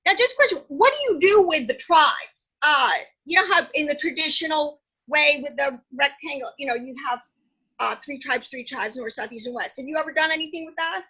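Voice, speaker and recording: A woman's voice: high-pitched, animated, fairly quick, pushed and bright, poor audio. A woman on a call politely asking the presenter a question, a little hesitant. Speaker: audience member asking a question.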